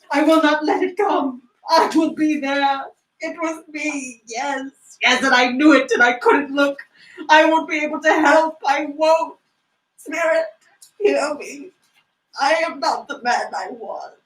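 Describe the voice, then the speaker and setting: A woman playing a reformed old-story miser, a man, in an effusive, exclamatory theatrical reading. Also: high-pitched. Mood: anguished, pleading. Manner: exclamatory, effusive, breathless repetition. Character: reformed miser hero, giddy, frantic, overjoyed man. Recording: roomy, distant microphone.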